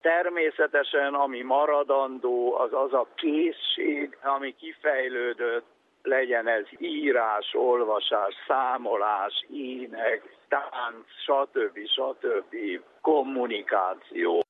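A man giving an explanatory talk on education in a didactic way, listing points with emphasis. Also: medium pitch. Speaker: education and psychology speaker. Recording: tinny phone-like radio sound, faint noise.

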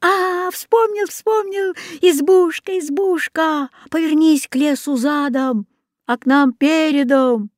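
A woman voicing an eager, boastful little boy in a children's story, excitable and quick to call out. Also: sits high, no echo, studio quality, pitched above the performer's own voice. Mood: excited, calling out. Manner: excitable, calls out loudly.

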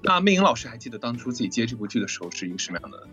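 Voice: medium pitch, very animated, rapid-fire, a bit bright, dull audio, faint music behind. A voice actor hosting a casual live chat, chatty and often repeating phrases. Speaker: host and voice actor.

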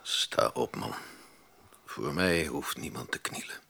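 An actor in a radio drama playing a stern, proud older aristocratic father, gravelly and commanding in short clipped sentences. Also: rumbling low, expressive, slow, sharp tone, a little hiss or hum, heavily acted. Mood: stricken, hushed. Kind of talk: Dutch radio drama acting.

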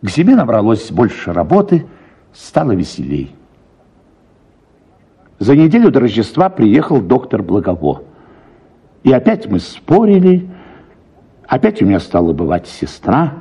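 A man narrates a literary story in an expressive, lively voice. His tone is mellow and a little husky, on a slightly dull radio recording. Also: medium pitch, measured pace, long pauses. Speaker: narrator, actor reading.

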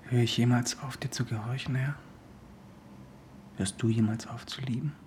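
A man's voice: mid-range voice, fairly even intonation, normal speed, a little mellow, a little hiss or hum, close to an everyday voice. An actor playing a weary, defiant young homeless male hustler in a radio drama, brooding and poetic. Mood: brooding, anguished. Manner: brooding, poetic, terse in dialogue.

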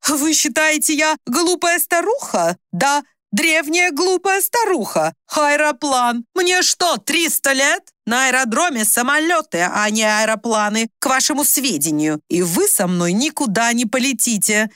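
A woman's voice: on the high side, expressive, normal speed, few pauses, fairly bright tone, dry recording, clean studio sound, pitched above the performer's own voice. A woman voicing an agitated, confused old mother in an audiobook, imperious, insistent and accusing. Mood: indignant, accusing. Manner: insistent, accusing, talks without stopping. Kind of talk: dramatised audiobook reading.

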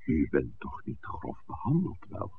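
A formal old-radio priest, gravelly bottom-of-the-barrel voice, polite but slippery, thin hissy sound. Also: male voice, a bass voice, wildly swooping pitch, narrow, tinny audio, full character voice. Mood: courteous. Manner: formal, measured, courteous. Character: courteous, evasive male village priest. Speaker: radio play actor. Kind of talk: 1960s radio drama acting.

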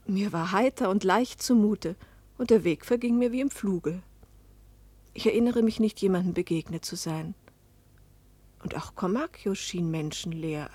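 An actress playing a bewildered, introspective woman of forty-one, narrating and speaking in a German radio play with a hushed, breathy, searching delivery. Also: mid-range voice, no room sound. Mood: resolved, lighter.

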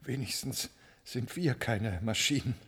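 A man's voice: medium pitch, a little slow, a bit bright, husky. An actor in a German radio play, playing an easygoing, flirtatious male scientist with a charming, teasing manner. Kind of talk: German radio drama acting.

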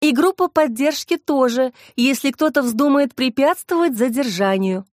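A woman narrator voicing a calm male police colonel in a firm, measured, official manner. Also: high voice, no echo, studio quality, pitched above the performer's own voice.

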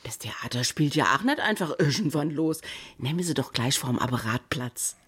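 A woman's voice: deep voice, expressive, moderate pace, fairly bright tone, slightly rough, exaggerated voice. An actress playing a chatty older woman, hosting her friend for a radio evening and laughing often. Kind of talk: radio comedy sketch.

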